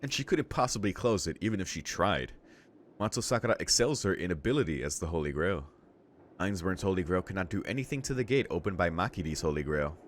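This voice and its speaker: A man hosting a visual novel read-along, switching between narrating the text aloud and casual, halting reaction commentary. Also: low-pitched, animated, a little hiss or hum. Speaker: let's-play host reading a visual novel aloud and reacting.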